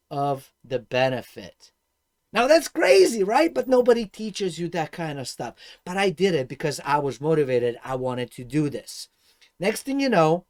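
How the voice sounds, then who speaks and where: A man from Serbia with a Serbian accent speaks in a fairly high voice. He teaches informally through personal stories, addressing viewers directly, stressing points emphatically and posing rhetorical questions. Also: darkish tone. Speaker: host and instructor of an investing course.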